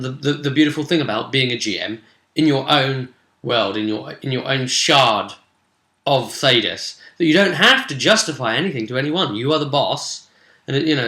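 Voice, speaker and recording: Man, bright forceful voice, rambling enthusiastic game master, casual vlog recap, roomy echo. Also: mid-range voice. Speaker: game master recounting a tabletop session.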